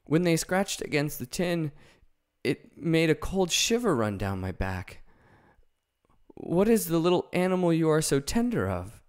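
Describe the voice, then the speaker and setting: A man's voice: on the high side, very animated, a little slow, clean tone, voice pushed up. A man voicing a big cowardly male lion in a storybook reading, meek and ashamed. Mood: timid.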